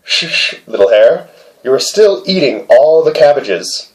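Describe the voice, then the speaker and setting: A man's voice: mid-range voice, very animated, measured pace, low-quality recording. A man voicing a young storybook maiden who shushes and scolds plaintively. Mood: plaintive scolding. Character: young maiden scolding a cabbage-eating hare.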